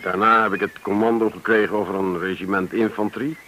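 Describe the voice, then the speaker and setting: An actor playing a wry, sharp retired army officer, dry and formally polite in a radio play. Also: male voice, lowish voice, fairly even intonation, fast talker. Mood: guarded, recalling. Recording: noisy old radio play recording.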